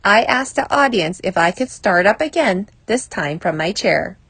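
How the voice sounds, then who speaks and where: A woman reading a self-help article aloud as scripted narration, in a measured, clearly phrased way. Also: medium pitch, expressive, fairly bright tone, dry recording.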